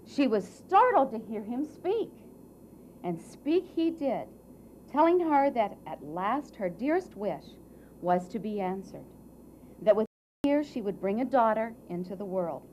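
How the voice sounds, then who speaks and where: A woman narrating a children's storybook tale for a puppet show with measured, dramatic phrasing. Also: a little high, very animated, a little mellow, slight background noise.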